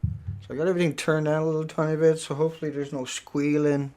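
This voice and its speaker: A man's voice: fairly high pitch, flat delivery, fast talker, darkish tone. A middle-aged man hosting a live stream, talking casually and emphatically, rambling and repeating himself.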